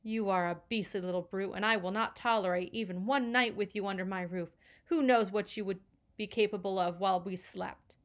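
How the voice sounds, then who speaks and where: A haughty foster mother scolds in stiff, pompous diction, as a woman reader puts her on. Her voice rides higher and louder than the narration, with a medium pitch underneath. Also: steady tone, a little fast, close to an everyday voice. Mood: scolding. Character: stern haughty middle-aged foster mother.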